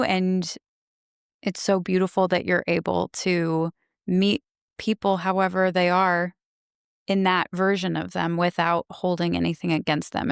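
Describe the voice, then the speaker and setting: Podcast host woman, low pitch, vocal fry, smooth tone, dry sound. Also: dry recording. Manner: admiring, gentle, effusive. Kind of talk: warm interview chat.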